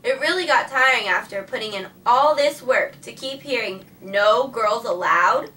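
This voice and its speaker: A woman's voice: fairly high pitch, animated, normal speed, sharp tone, a little hiss or hum. A woman narrating a scripted first-person history story, reading it plainly and earnestly. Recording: distant mic with faint background music.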